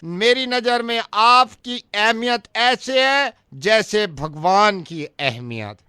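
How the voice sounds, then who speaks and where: Give the sound of a bright, loud politician acted by a man, dragging out every word very slowly. He grovels and flatters like a servant before his master, in a comic radio prank. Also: high-pitched, clean tone, no echo, clean studio sound, voice pushed up, exaggerated voice. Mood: servile pleading. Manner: slow, drawn-out, servile oratory. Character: pleading, flattering male politician begging for votes, turning rude.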